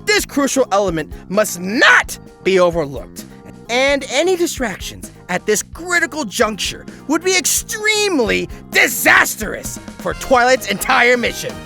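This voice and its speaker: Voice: medium pitch, sing-song, a little slow, vocal fry, sharp tone, soft background music. A narrator giving a scripted comedic recap in a wry, mock-dramatic way. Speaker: narrator and host.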